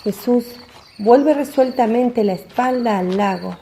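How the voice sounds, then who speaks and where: A woman narrating a scripted religious story in a measured, descriptive reading. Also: on the high side, mellow, slight background noise.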